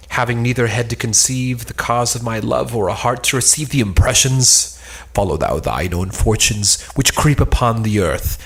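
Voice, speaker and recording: A man's voice: medium pitch, fairly bright tone. A man playing a haughty, lovesick young moon worshipper, declaiming archaic lines in an amateur cold read of an Elizabethan play. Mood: scornful, defiant.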